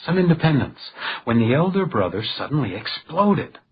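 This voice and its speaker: An actor playing a polite but stubborn hotel detective in a radio crime drama, with a dry, storytelling way of talking. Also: male voice, fairly high pitch, big pitch swings, normal speed, husky, highs cut off, exaggerated voice. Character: hotel house detective, male, deferential but stubbornly needling.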